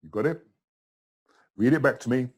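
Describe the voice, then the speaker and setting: A middle-aged man giving a casual step-by-step tutorial in a chatty, simple way, with a husky voice. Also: mid-range voice, normal speed, soft background music. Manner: simple, chatty, talking himself through each step. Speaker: tutor in a tutorial video, also acting as the student.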